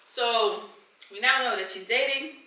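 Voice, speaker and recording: A woman's voice: on the high side, unhurried, sharp tone. A woman asking a friend questions in a casual chat, playful and teasing. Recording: echoey room, mic at a distance.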